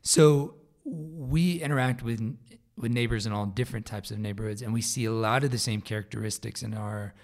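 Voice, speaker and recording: A man with a raspy, hoarse voice hosting a solo podcast episode, talking casually with fillers and restarts. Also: medium pitch, moderate pace. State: hoarse from a vocal cord growth. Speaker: podcast host.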